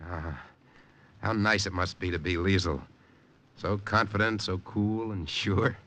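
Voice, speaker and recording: An actor playing an earnest American secret agent in an intense 1950s radio drama style. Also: male voice, sits low, steady tone, a little slow, fairly bright tone. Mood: wry, steady. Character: earnest American secret agent behind enemy lines, narrating his story. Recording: old radio broadcast with music.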